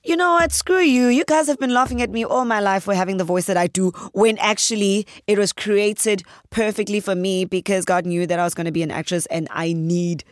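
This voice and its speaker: A woman from South Africa, a guest actress on a podcast interview, with a husky, deep voice, talking in an earnest way that turns animated as she tells stories. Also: a little fast, few pauses, no room sound, studio quality.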